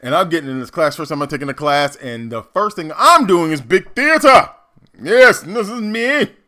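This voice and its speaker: A man giving casual, theatrical reaction commentary as an actor and host, animated and demonstrative. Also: fairly high pitch, moderate pace.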